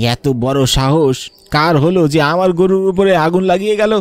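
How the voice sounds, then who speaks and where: A man's voice: a little high, fairly even intonation, normal speed, close to an everyday voice. An actor playing a suspicious, bullying landowner in a horror cartoon, scolding and accusing his workers. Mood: angry accusing. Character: suspicious, bullying male landowner and farm master. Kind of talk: dramatised horror cartoon dialogue. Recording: music bed under the voice.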